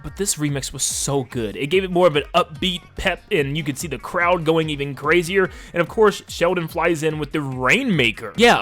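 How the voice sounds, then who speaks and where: A man's voice: fairly high pitch, a bit bright. A man giving casual, enthusiastic and emphatic video essay commentary as a conversational game reviewer. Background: music under the voice.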